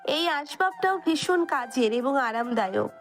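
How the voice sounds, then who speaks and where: A woman narrates a romantic audio story in a high-pitched voice. She reads from a script, and music plays underneath her. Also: lively intonation, a little slow. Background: background music.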